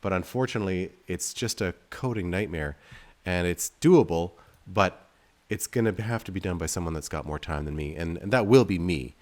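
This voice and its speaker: A man's voice: low-pitched, moderate pace, hardly any pauses, a little mellow. A man giving casual gameplay commentary as a video host, rambling and thinking aloud in a self-deprecating way. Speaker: game developer and video host playing his game.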